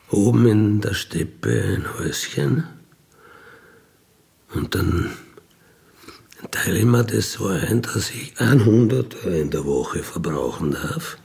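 Slow, gravelly, wistful ex-robber. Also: male voice, low-pitched, a little mellow, full character voice. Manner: halting, musing, trailing into murmurs. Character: wistful dying ex-robber dreaming of freedom in Siberia. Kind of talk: intimate naturalistic radio play monologue.

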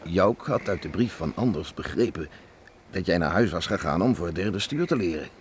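Radio play: older farmer father, low voice, reflective, rustic, concerned; noisy vintage recording. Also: lively intonation, normal speed. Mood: subdued, probing. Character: ageing island farmer father, plain-spoken, reflective, concerned. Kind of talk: Dutch radio drama acting.

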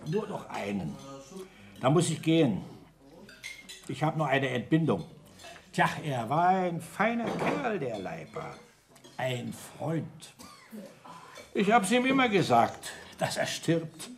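Eccentric doctor, slow halting phrases, swinging pitch, self-important, radio play. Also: male voice, sing-song, gravelly, slight background noise, full character voice. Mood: breezy.

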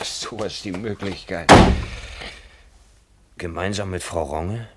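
A man's voice: deep voice, takes it slowly, very noisy. A man acting in a German radio play as a stubborn, sardonic village filling-station man with a curt, dry manner. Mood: wry, musing.

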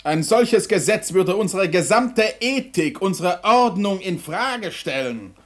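An alarmed head of government in an old German radio play. His voice sits high for a man, and he speaks with formal, solemn dignity. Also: animated, unhurried. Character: dignified, alarmed prime minister chairing his cabinet. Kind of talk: 1960s German radio drama acting.

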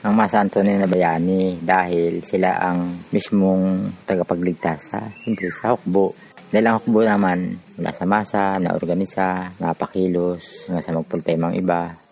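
A man gives a testimonial in a deep voice. It is flat and creaky. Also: normal speed, faint music behind. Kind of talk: spoken testimonial.

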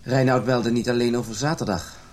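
An actor playing a dutiful, persuasive husband in conversational Dutch radio play style. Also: male voice, mid-range voice, fairly even intonation, fairly quick, a little mellow, natural voice. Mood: explaining. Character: dutiful, persuasive husband, loyal to his club friends.

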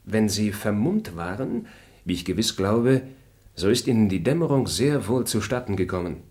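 An actor voicing a composed, fair-minded gentleman traveler in a radio play, speaking in a measured, formal and articulate way. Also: on the low side, big pitch swings. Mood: calm. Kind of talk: German radio play acting.

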